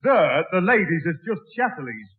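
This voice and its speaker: A man's voice: on the high side, sing-song, moderate pace, very noisy. A man playing a matey working-class Liverpudlian in a 1960s radio sitcom, reeling off jokey patter and mangling long words. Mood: knowing. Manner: breezy, jokey, malaprop-prone patter. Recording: tinny old radio broadcast recording.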